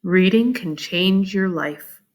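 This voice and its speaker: A woman voicing a kindly, earnest sixteen-year-old farm boy in a storybook read-aloud, speaking with quiet pride. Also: fairly low pitch, slow pace, pitched below the performer's own voice. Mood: quiet, encouraging.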